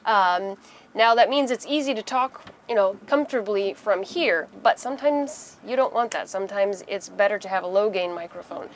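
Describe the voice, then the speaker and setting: A woman in her thirties talks through a practical tutorial in a casual, conversational way. Her voice sits at an average female pitch. She is recorded in a small boat cabin, with noticeable ambient noise behind her. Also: normal speed. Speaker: host of a sailing how-to video.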